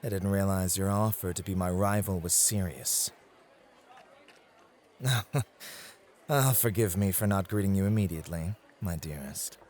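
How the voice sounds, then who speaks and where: A man's voice: low voice, unhurried, pauses often, a little mellow. A man playing a suave, possessive nightclub host in an ASMR roleplay, pointedly polite and sardonic as he guards his territory. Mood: cool, accusing, then tender.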